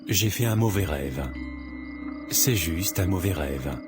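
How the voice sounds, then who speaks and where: A man's voice: low voice, expressive, takes it slowly, music in the background. A man playing an earnest, troubled young man. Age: young adult.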